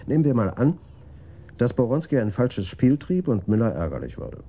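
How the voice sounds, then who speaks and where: An actor playing a gruff, world-weary police detective in a German radio crime drama, with a dry, blunt delivery. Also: male voice, medium pitch, sing-song, moderate pace, mellow, dull audio. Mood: sardonic, weary.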